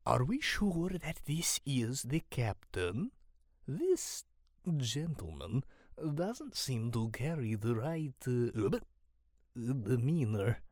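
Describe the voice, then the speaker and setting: A man voicing a wary, haughty amphibian companion in a fantasy audiobook, speaking in a fussy, measured way. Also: mid-range voice, sing-song, darkish tone, no echo, studio quality, full character voice. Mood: doubtful, wary. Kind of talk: audiobook character acting.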